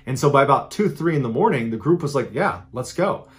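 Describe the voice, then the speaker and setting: Middle-pitched man in his thirties, host of a storytelling show, speaking straight to camera from a studio. He tells the tale in a casual, lively way, leaning on dramatic beats. The delivery is steady and conversational. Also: a little fast. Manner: animated, conversational retelling with dramatic emphasis. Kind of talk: true-crime storytelling to camera. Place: indoor studio with a green-screen backdrop.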